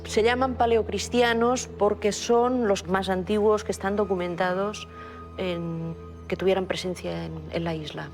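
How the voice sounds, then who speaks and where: A woman's voice: medium pitch, fairly even intonation, normal speed, a little mellow. Woman expert explaining finds, plain and factual, faint music behind. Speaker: museum expert. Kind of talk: explaining museum finds in conversation.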